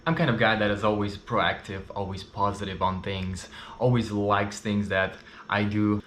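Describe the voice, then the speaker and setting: Vlogger, thirties man, Russian-Ukrainian accent, lowish voice, candid and chatty, echoey room. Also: little pitch movement, normal speed, a bit bright, a little hiss or hum. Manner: candid, chatty, frequent fillers. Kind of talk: casual personal story to camera. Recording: roomy echo.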